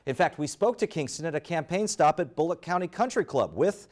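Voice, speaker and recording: A man reading a scripted investigative television news report with emphatic, deliberate phrasing. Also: fairly high pitch, lively intonation, darkish tone, dry recording.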